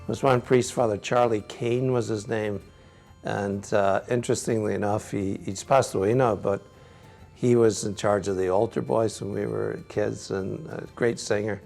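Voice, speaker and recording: A middle-aged Scottish man, a priest, answering interview questions in a gentle, reflective way with frequent soft laughs. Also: fairly low pitch, steady tone, moderate pace, creaky, faint music behind. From Scotland.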